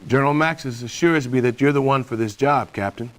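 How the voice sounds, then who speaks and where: A man playing a stern middle-aged military colonel giving a formal, measured video game mission briefing. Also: mid-range voice, close to an everyday voice.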